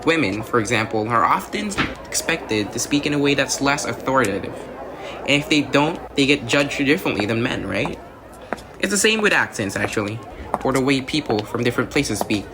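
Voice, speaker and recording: A man's voice: medium pitch, lively intonation, fairly quick, lightly acted. A man playing an earnest, studious student in an amateur radio drama, explaining ideas conversationally and often checking in with a "right?". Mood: earnest, sympathetic. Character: earnest, academically-driven male student explaining ideas to classmate. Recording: noisy outdoor ambience.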